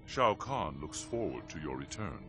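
A man playing a cunning, obsequious sorcerer who speaks deliberately in a dramatic game style. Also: a bass voice, big pitch swings, full character voice. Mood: calm, sinister. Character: cunning male sorcerer, smooth-tongued schemer serving an emperor. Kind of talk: dramatic video game acting. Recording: loud music behind the voice.

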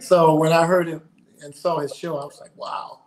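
High-voiced man on a video call. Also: little pitch movement, normal speed, a little mellow, poor audio. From South Carolina, US. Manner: earnest, repeats words while finding his thoughts. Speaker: guest, singer. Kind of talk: casual interview. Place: home room indoors.

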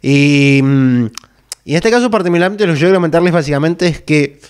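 Halting man. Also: on the high side, darkish tone, clear, resonant tone. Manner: halting, repetitive, with frequent tag questions. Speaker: video creator. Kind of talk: casual unscripted talk to camera. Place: small bare indoor room. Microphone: microphone on a boom arm, close.

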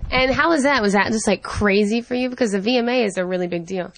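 Young woman, bubbly interviewer, playful questions, mid pitch. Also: quick pace, slight background noise. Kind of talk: upbeat music TV interview. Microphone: handheld station mic.

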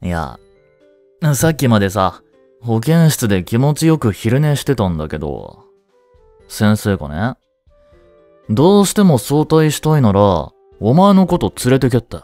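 A man acting a cheeky, laid-back high-school slacker in a romantic audio drama, with casual, teasing, blunt slang. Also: very animated, measured pace, a little mellow, no room sound, soft background music. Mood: teasing, pushy.

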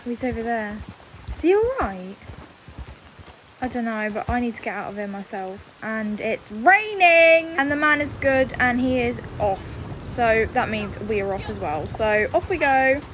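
A woman giving casual, excitable commentary as she role-plays in a video game, exclaiming often. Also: a little high, unhurried, a little hiss or hum. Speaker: gaming video creator.

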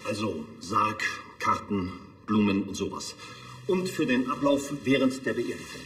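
An actor playing a sober, businesslike undertaker who speaks in clipped phrases in a TV drama. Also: male voice, lowish voice, animated, unhurried, fairly bright tone. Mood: matter-of-fact. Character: sober, matter-of-fact male undertaker. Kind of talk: naturalistic TV drama acting. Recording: echoey room.

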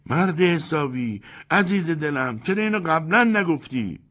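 A man voicing a pompous, fawning male groomsman who talks in a shrill, blustering way. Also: big pitch swings, a bit bright, slightly rough, full character voice. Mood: flustered pleading.

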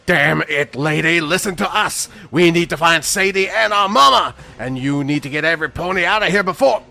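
A man playing a bold, hot-headed young pony in a horror audio story, urgent and forceful. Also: fairly high pitch, expressive, moderate pace, creaky, full character voice. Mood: angry.

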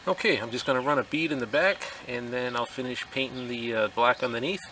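A middle-aged man casually explaining a do-it-yourself boat repair, relaxed and plainspoken with lots of fillers, recorded outdoors in a rural driveway. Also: medium pitch, a little fast, a little hiss or hum. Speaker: DIY workshop vlogger. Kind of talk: casual how-to narration. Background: birds and outdoor ambience.

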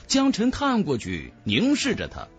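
A man's voice: high-pitched, wildly swooping pitch, measured pace, breathy edge, soft background music. A man narrating a fantasy novel as a scripted audiobook, with emphatic, dramatic storytelling.

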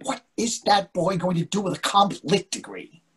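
A man's voice: sits high, very animated, normal speed, a bit bright, slightly rough, full character voice. An older man playing an anxious, earnest husband and father in a play reading, needling and conversational over a video call. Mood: fretful. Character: anxious, fretful middle-aged husband and father. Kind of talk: readers theatre play reading.

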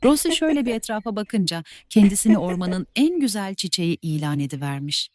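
A woman narrating classic fairy tales for children in a soft, measured way. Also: mid-range voice, big pitch swings, no echo, studio quality. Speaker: storyteller. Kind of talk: scripted fairy-tale narration.

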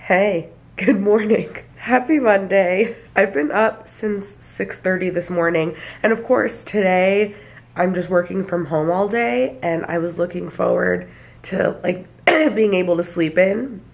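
A woman's voice: medium pitch, unhurried, creaky. A young woman vlogging casually to the camera in her small apartment. She sounds nasal and stuffy from allergies and talks with lots of fillers. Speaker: vlogger and hairstylist. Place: small apartment, kitchen and bathroom. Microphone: handheld camera at arm's length or tripod.

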